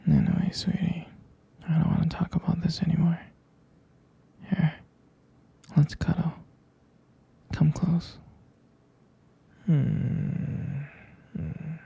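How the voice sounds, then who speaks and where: Man playing a whispering, gravelly, jealous boyfriend. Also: on the high side, fairly even intonation, mellow, muffled recording. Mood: soft, affectionate. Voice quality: breathy, husky, gravelly whisper. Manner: slow, hesitant, intimate. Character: tender, jealous, protective boyfriend comforting his partner. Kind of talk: whispered ASMR boyfriend roleplay.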